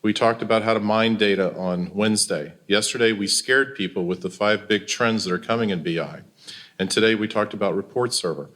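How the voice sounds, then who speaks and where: American guy, kinda low voice, chatting on a panel like a consultant explaining his work. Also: brisk, fairly bright tone. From Louisiana, US. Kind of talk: conversational panel talk.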